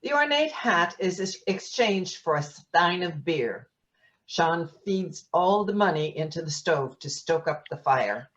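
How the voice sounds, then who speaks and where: Low-voiced woman narrator, lively intonation. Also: unhurried. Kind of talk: scripted narration, reading stage directions. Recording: dull lo-fi audio.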